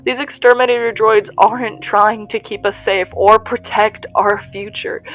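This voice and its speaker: Young female survivor radio broadcaster, acted by a woman, audio drama monologue, unhurried pace, halting, raw, confiding, music underneath. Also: mid-range voice, fairly bright tone, lightly acted, rough recording. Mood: bitter, angry.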